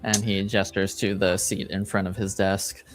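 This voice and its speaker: A man's voice: low voice, level tone, moderate pace, loud music behind the voice. A man casually narrating a tabletop roleplaying game as game master.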